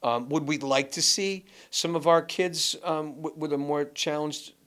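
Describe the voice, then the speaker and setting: A man from Massachusetts, a guest and former school athletics director, telling animated stories in a casual chat with many restarts. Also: a little high, measured pace.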